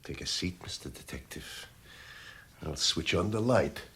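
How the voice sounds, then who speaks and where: Gravelly, very deep, menacing crime boss. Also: male voice, lively intonation, a little hiss or hum, exaggerated voice. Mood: mocking politeness. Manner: theatrical, mock-courteous, deliberate, dropping into hushed intensity. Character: menacing, dandyish male crime boss, vengeful and courteous. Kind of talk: 1980s radio drama acting.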